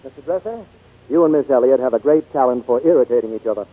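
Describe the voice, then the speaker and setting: Guy doing smooth-mannered theater boss, dry, 1940s radio play, slightly husky, lo-fi sound. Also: mid-range voice, animated, fast talker, close to an everyday voice. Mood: calm. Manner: smooth, conciliatory. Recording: tinny, lo-fi 1940s radio broadcast.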